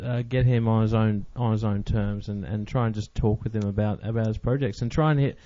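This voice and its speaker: A man's voice: lowish voice, fairly even intonation, a little fast, dark tone, no room sound. A man hosting a podcast in a casual, conversational chat. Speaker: podcast host. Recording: dry, slightly dull recording.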